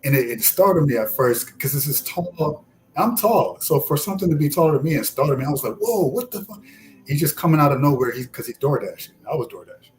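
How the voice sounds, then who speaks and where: A man telling a story in a lively, casual way and acting out the voices of the people he quotes. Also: fairly high pitch, fast, low-quality recording. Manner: animated storyteller who acts out quoted voices. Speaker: podcast co-host. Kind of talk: casual chat.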